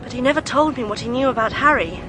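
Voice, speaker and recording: A woman's voice: on the high side, lively intonation, fast, background noise, lightly acted. An actress playing an earnest, indignant young woman with a British accent and an emphatic, clipped delivery in a radio drama. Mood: earnest, confiding. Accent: British English.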